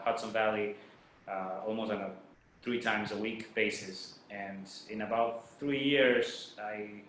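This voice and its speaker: Guest: man, bright edgy voice, halting with uhs, heavy room echo. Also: on the low side, slight background noise. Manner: hesitant, many uhs and restarts. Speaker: guest, dancer. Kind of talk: casual interview chat. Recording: very echoey room.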